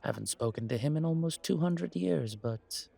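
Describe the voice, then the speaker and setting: A man voicing a courtly, wary, centuries-old vampire in a roleplay audio drama, speaking in a measured, formal, breathy way. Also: a little high, lively intonation, warm tone, exaggerated voice. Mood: reverent, reminiscing. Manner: measured, formal, politely dry.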